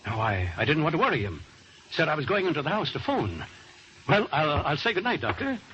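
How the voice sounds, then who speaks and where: A nervous professor with something to hide in an old radio drama. His voice sits fairly high and jumps around as he stammers and dodges. The recording is clearly noisy. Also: very animated, fairly quick, pushed and bright, dull audio. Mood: nervous, evasive. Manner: stammering, hesitant, evasive. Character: nervous, flustered, guilt-ridden male professor hiding something. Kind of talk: 1940s radio drama acting.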